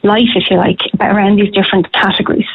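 A woman, a clinical psychologist answering radio interview questions in a measured, hedging way with frequent restarts. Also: mid-range voice, flat delivery, gravelly, breathy edge. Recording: phone line.

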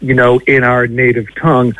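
Man, American accent with Irish tinge, professor, discursive conversational explanation, medium pitch, dry recording. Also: level tone, normal speed, a bit bright, no echo, muffled recording. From Boston, United States. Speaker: linguistics professor, radio guest.

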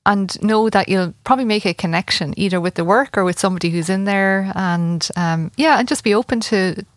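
A woman in her late forties with an Irish accent, a festival organiser talking as a guest in a casual interview, with many pauses and fillers. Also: mid-range voice, dry recording, studio quality. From Ireland. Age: 40s. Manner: hesitant, many fillers and restarts. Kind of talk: conversational radio interview.

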